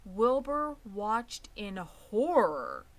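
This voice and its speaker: A woman's voice: medium pitch, big pitch swings, drawn-out words. A woman narrating a children's book as a scripted read-aloud. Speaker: storybook reader.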